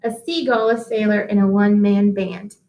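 A woman in her thirties reading a picture book aloud to children, deliberate and expressive, pausing to address listeners at home. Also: medium pitch. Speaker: read-aloud host, teacher. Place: small indoor office-like room. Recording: echoey small room.

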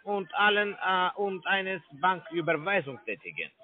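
A man with a foreign accent making a sales pitch on a phone call in broken, halting German. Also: big pitch swings. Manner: broken halting German, many restarts and fillers. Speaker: scam call center agent. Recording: tinny phone line.

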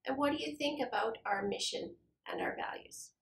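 A middle-aged woman interviewing a church staff member with gentle, measured questions in a small office. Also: fairly high pitch. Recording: echoey office room.

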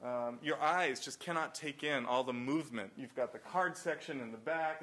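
A man's voice: mid-range voice, a bit bright. Man chatting through a symposium talk. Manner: loose, anecdotal, many fillers and restarts; acts out quoted exchanges in raised voices. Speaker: nonprofit director giving a symposium talk. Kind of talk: conference talk with slides. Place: college symposium room.

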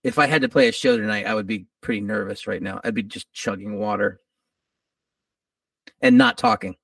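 A middle-aged musician guest talking casually in a laid-back way, a little groggy. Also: male voice, fairly low pitch, fairly even intonation, fairly quick, a bit bright, no echo, recorded from a distance. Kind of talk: casual interview.

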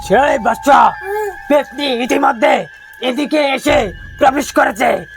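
Man playing a very high-pitched, commanding exorcist. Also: unhurried, vocal fry, soft background music, full character voice. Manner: imperious, incantatory, repeating commands and chants. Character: commanding male village exorcist, stern and forceful. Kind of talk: Bengali horror cartoon voice acting.

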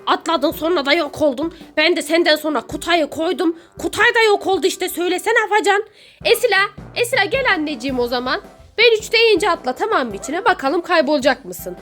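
A woman's voice: very high-pitched, animated, brisk, fairly bright tone, soft background music. A woman playing a mother in a children's pretend-play skit, speaking in an exclamatory, theatrical way and repeating her phrases.

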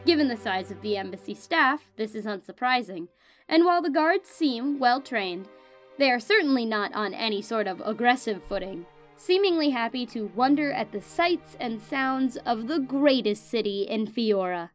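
A woman playing a cool, haughty royal spy reading a formal, measured, disdainful report in an audio drama. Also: on the high side, expressive, lightly acted. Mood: clinical, dismissive. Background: music under the voice.

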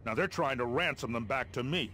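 An actor playing a gruff, impatient middle-aged American army colonel in a video game, briefing in a blunt, commanding, wry way. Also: male voice, medium pitch, a little fast, bright, forceful tone, a little hiss or hum, natural voice. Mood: businesslike, urgent. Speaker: military officer giving mission briefings.

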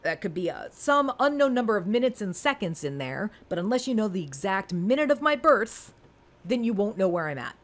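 A woman's voice: medium pitch, sing-song, fairly quick. A woman in her forties giving an informal step-by-step lesson as an instructor, conversational and emphatic, often checking in with rhetorical questions. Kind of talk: informal screen-recorded lesson, explaining step by step.

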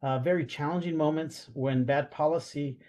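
A man's voice: on the high side, steady tone, normal speed, darkish tone, slight background noise. A middle-aged man speaking earnestly on a video call panel, with many fillers and restarts. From Guatemala. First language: Spanish. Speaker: panelist, school police association president. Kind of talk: online panel discussion. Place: home or office room, video call. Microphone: video call webcam.

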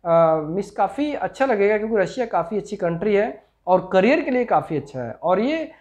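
A man's voice: high voice, sing-song, normal speed, a little mellow. A man in his forties with an Indian accent giving an informational promotional talk to camera, explaining step by step and mixing in many English terms. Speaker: overseas recruitment consultant. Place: small office. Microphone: clip-on lapel mic.

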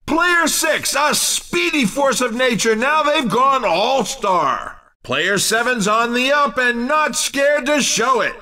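An excitable male race commentator for a cartoon video game, pitched extremely high and swooping widely. He is a wisecracking showman with a bright, forceful delivery, full of exclamations. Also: distant mic, full character voice. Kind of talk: excitable scripted sports commentary.

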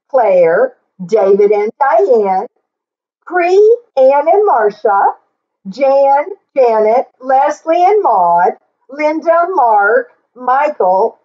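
A middle-aged woman reading a picture book aloud for children, expressively and deliberately, drawing out words and adding simple explanations. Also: on the high side, dull audio. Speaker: children's story reader and teacher.

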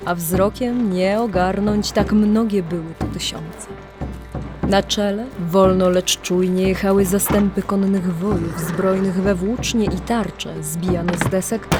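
Woman narrating a legend over music. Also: mid-range voice. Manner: measured, literary storytelling delivery with deliberate pauses. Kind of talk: scripted storytelling narration of a legend, audio drama.

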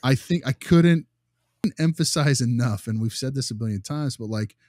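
A man's voice: mid-range voice, normal speed, a little mellow, no echo, clean studio sound. A man talking casually as a podcast co-host, emphatic and often breaking off to restart his thought. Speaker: podcast co-host, behavior analyst.

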